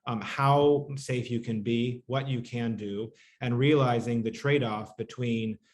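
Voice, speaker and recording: A man speaking unscripted as a panelist, explaining in a measured way. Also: mid-range voice, steady tone. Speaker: panelist on digital security.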